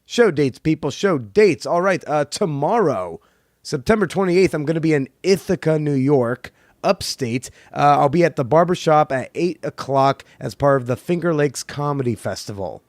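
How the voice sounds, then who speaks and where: A man hosting a podcast, talking casually in a rambling, halting way with many fillers. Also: on the high side, animated, no room sound, clean studio sound. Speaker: podcast host; comedian. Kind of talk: casual solo monologue.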